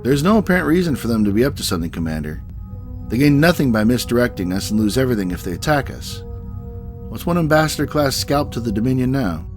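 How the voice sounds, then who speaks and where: Man playing composed starship captain, medium pitch. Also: sing-song, fast talker, faint music behind, close to an everyday voice. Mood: firm. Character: male starship captain, composed, dutiful, diplomatic. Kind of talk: science-fiction audio drama.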